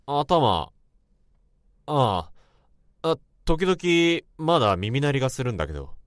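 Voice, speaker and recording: A brooding office worker narrating. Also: male voice, mid-range voice, big pitch swings, no echo, clean studio sound. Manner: brooding, halting inner monologue. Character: troubled male office worker. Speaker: narrator and protagonist. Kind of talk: first-person radio drama narration. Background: music.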